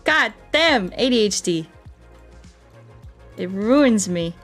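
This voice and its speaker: A woman is streaming a game and chatting to her viewers. Her voice sits at a middle pitch, and she talks in a playful, rambling, off-the-cuff way. Music plays behind her. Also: expressive, slow pace, a bit bright. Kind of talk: casual livestream chat while playing a game.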